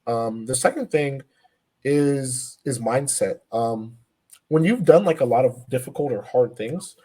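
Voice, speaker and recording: A man's voice: mid-range voice, measured pace, dark tone, breathy edge. A man in his twenties, a powerlifter guest on a podcast, talking earnestly with many fillers and restarts. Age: 20s. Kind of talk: casual podcast interview.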